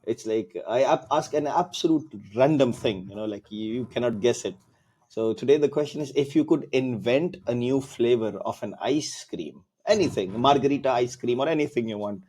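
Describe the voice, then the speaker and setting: A man's voice: medium pitch, moderate pace, warm tone, husky. A man hosting a podcast interview, chatting loosely with fillers and restarts. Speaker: podcast host. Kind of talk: casual interview chat.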